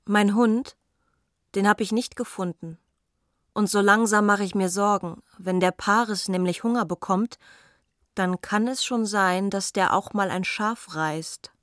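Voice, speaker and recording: An actress playing a worried dog owner in a radio play. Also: female voice, medium pitch, steady tone, measured pace, no echo, studio quality, natural voice. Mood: uneasy. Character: worried woman searching for her hungry dog. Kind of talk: German radio play acting.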